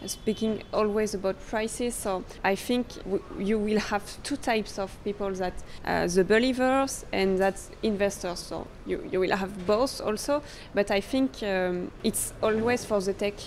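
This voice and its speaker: A French-accented woman asks questions in careful, halting English, stopping often with fillers while she searches for words. Her voice sits at a mid pitch. A faint hum of conference ambience runs behind her. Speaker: interviewer. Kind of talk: conference interview. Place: crypto conference venue.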